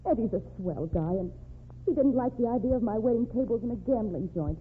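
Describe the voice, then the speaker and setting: A woman's voice: on the high side, animated, fairly quick, close to an everyday voice. An actress playing a loyal, determined young American working girl in an old crime radio drama, earnest and plain-spoken. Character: loyal, determined working-class young woman, gangster's sweetheart. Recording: tinny noisy old radio recording.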